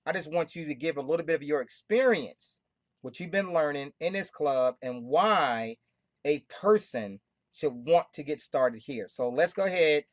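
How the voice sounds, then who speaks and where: A woman hosting a group call, pitching hard with emphatic, repetitive, preaching talk. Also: lively intonation, moderate pace, no echo. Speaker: host of a money club call.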